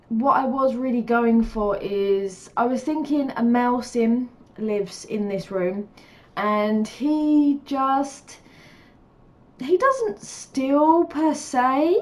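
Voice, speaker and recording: A woman talks over her gameplay, her voice a touch higher than most and blocked up from a cold. She rambles with plenty of ums and little laughs, sounding relaxed and chatty. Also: takes it slowly. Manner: rambling and chatty, lots of fillers, laughs often. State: stuffed up with a cold, sniffling. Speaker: gaming YouTuber. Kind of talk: casual voice-over commentary on a building game.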